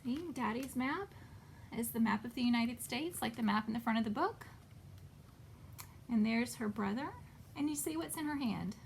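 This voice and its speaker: A woman hosting a children's storytime, reading a picture book expressively and pausing to ask simple questions. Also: a little high, vocal fry, slight background noise. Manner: expressive, asks simple questions, pauses to point things out. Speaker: children's storytime host and reader.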